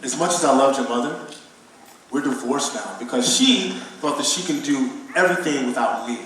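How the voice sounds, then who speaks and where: A man's voice: medium pitch, normal speed, a bit bright, close to an everyday voice. An actor playing an earnest divorced father who teaches his son in an emphatic, preaching stage manner. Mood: earnest, explaining. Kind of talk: stage play dialogue, preachy. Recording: roomy echo, distant mic, faint noise.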